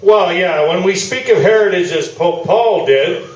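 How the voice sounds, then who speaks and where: A man's voice: sits high, expressive, measured pace. A man playing a pompous, self-satisfied old-time sports columnist in a live stage radio play. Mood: grandiose. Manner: pompous, orating. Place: small theatre, live performance. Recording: roomy stage recording from far off.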